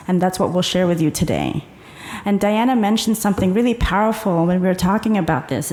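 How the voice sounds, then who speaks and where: Woman conference presenter, calm and guiding, mid-pitched voice, reverberant hall. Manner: calm, guiding, leads audience through exercises. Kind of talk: conference talk.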